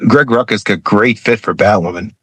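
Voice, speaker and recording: A man's voice: fairly low pitch, moderate pace, fairly bright tone, breathy edge, dry recording. A man chatting casually as a co-host in an interview. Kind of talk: casual chat.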